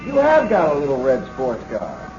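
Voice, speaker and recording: An actor with an American accent playing a young, nosy police officer in a 1970s radio drama, folksy and awkward, stammering but dogged. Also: male voice, on the high side, huge pitch swings, moderate pace, darkish tone, soft background music. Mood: casual, hesitant. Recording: muffled, noisy old radio broadcast.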